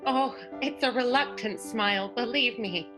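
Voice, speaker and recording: A woman's voice: fairly high pitch, measured pace, over music, close to an everyday voice. A woman playing a wistful, romantic vaudeville star in an improvised radio soap, speaking dreamily and dramatically.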